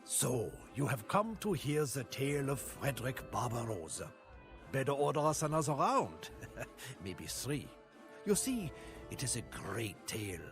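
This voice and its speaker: A man playing a boozy tavern storyteller in a video game, telling a tale theatrically and savoring his pauses. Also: mid-range voice, sing-song, over music. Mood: jovial, teasing. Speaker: campaign narrator. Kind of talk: in-character game narration.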